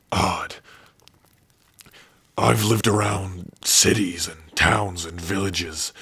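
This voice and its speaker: A man voicing a big, gruff but tender orc warrior in an ASMR roleplay, shy and devoted, speaking haltingly and intimately close to the mic. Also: no room sound, exaggerated voice. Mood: wondering, vulnerable. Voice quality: husky, breathy low voice. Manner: slow, halting, softly intimate. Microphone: close dry microphone.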